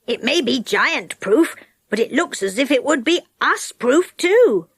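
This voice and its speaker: A woman's voice: sits high, lively intonation, measured pace, husky, no echo, studio quality, heavily acted. A woman voicing a brave, protective male fairy-folk friend in a children's story, speaking firmly. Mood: doubtful.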